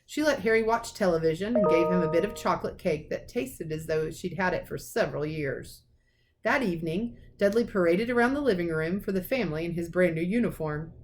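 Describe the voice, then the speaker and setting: A woman reading a children's storybook aloud as a teacher, with expressive narration. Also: fairly low pitch, a little fast, music in the background. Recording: clearly noisy recording with clicks.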